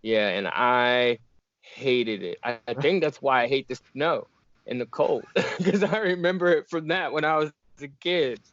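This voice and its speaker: A man's voice: mid-range voice, unhurried, no room sound. A young man from Virginia, a fighter talking in a casual, easygoing way on a video call interview. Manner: relaxed, easygoing, laughs often. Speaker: bare-knuckle fighter, guest. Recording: muffled dry call audio.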